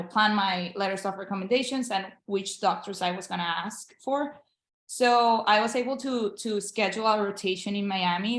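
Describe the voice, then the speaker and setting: A woman's voice: medium pitch, roomy sound. A young woman from Venezuela, a Spanish speaker, giving a casual interview as a guest, speaking earnestly and encouragingly over a video call. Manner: earnest, encouraging, with frequent restarts. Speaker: guest, international medical graduate.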